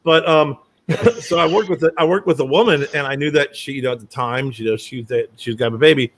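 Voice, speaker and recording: A man hosting a casual chat and telling lively anecdotes with many restarts. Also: on the high side, fast, gravelly.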